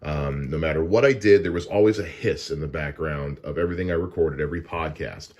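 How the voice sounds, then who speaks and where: A man hosting a podcast, casually walking through his studio gear on a dynamic broadcast mic, with frequent "uh" fillers. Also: brisk. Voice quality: deep voice. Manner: relaxed and explanatory, with frequent "uh" fillers. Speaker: podcast host. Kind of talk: casual gear unboxing walkthrough.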